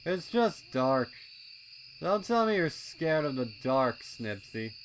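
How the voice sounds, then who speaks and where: A man's voice: mid-range voice, big pitch swings, slow, a little hiss or hum, voice pushed up. A man voicing a laid-back, teasing young colt pony with a drawling delivery in a story reading. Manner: drawling, taunting.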